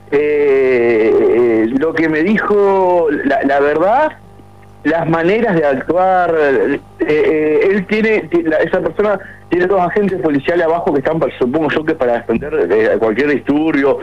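A man in his forties with a Uruguayan accent, calling a radio show to complain at length, with many restarts. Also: on the high side. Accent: Uruguayan Spanish. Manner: aggrieved, rambling with many restarts. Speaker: caller. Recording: muffled phone line on radio.

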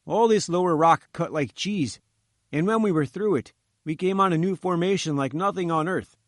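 A man's voice: on the high side, moderate pace, no room sound, studio quality, pitched above the performer's own voice, natural voice. A man voicing a gloomy, nervous chief engineer in an audiobook story, with an uneasy, emphatic manner. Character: nervous, lugubrious chief engineer, wary and stubborn. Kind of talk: audiobook character dialogue.

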